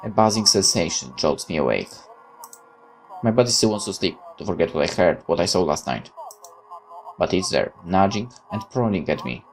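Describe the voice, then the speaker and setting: A man's voice: low voice, lively intonation, moderate pace, faint music behind, low-quality recording. A man hosting a gaming video, reading visual novel text aloud and adding casual commentary.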